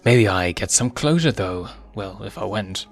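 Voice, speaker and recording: A man's voice: lowish voice, animated, unhurried, vocal fry, soft background music, exaggerated voice. A man voicing an earnest, conflicted young male lion with a stammering, questioning, pleading manner in a story reading. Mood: anxious.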